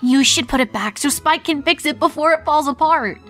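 A woman voicing a thoughtful, reasonable young female cartoon pony with an earnest, measured delivery. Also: a bit bright, soft background music. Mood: concerned. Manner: earnest, measured, higher-voiced.

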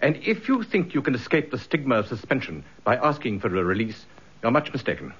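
An actor playing a stern, haughty senior British police commissioner in a radio drama, pompous, cold and imperious. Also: male voice, medium pitch, wildly swooping pitch, brisk, fairly bright tone, breathy edge, exaggerated voice. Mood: stern, affronted. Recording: slightly dull radio drama recording.

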